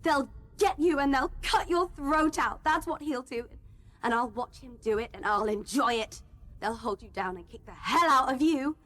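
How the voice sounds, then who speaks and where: A woman's voice: high-pitched, expressive, moderate pace, a bit bright. A woman playing a defiant, vengeful captive, a rich man's daughter, insistent and repetitive in a radio play. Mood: menacing.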